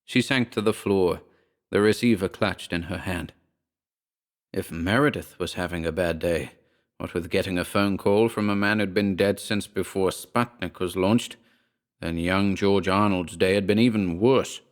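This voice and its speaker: Low-voiced male horror story narrator. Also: a little slow.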